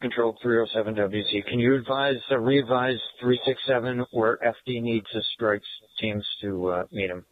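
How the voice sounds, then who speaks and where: A man's voice: medium pitch, steady tone, moderate pace, hardly any pauses, no room sound. A man speaking as a police officer, checking in over a police radio. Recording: narrow, tinny police radio transmission.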